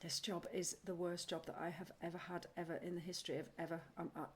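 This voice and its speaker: An actress playing an impulsive, scattered and stubborn woman in an audio drama, deadpan and earnest. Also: on the low side, fast talker, natural voice. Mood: weary, stubborn. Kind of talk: naturalistic audio drama acting.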